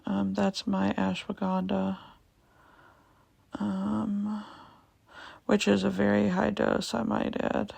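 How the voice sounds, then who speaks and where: A young woman with a raspy voice giving a casual vlog voiceover, hesitant, with many ums, and repeating herself. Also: mid-range voice, a little mellow, no room sound. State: raspy voice, going in and out. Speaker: vlogger.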